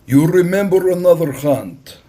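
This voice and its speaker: A man voicing a tall, shrewd, haughty Russian captain in an audiobook, declaiming loudly in drawn-out phrases. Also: high voice, expressive, voice pushed up. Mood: brisk, persuading.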